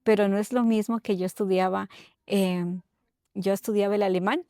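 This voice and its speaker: A middle-aged woman from Ecuador, telling her personal story haltingly as an interview guest, often checking in with tag questions. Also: mid-range voice, fairly even intonation, mellow, no room sound, studio quality.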